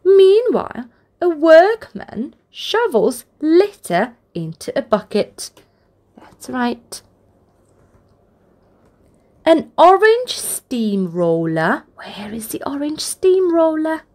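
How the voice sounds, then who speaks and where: Very slow, lilting woman reading to toddlers. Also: high-pitched. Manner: deliberate, expressive phrasing aimed at small children. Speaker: children's storybook reader, channel host. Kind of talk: read-aloud of a picture book for toddlers, with soft encouraging asides.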